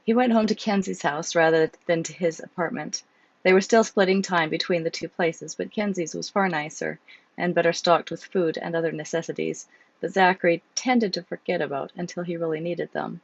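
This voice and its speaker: Hoarse woman with a cold reading aloud. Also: on the low side, steady tone, normal speed, dry recording, dull audio. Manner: chatty, with fillers before reading. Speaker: author reading her own novel. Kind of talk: casual chat, then book reading.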